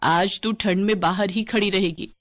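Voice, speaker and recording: A woman's voice: mid-range voice, steady tone, normal speed, no echo, close to an everyday voice. A woman voicing a cruel village stepmother in a story, with a sneering, taunting manner. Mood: harsh, taunting.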